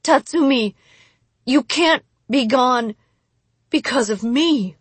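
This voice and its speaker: Woman as an earnest, higher-voiced young fox-spirit girl. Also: steady tone, drawn-out words, husky, dry recording, full character voice. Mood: despair. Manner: earnest, pleading, emotional. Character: young female kitsune, anguished yet defiant. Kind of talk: dramatic audiobook character voice.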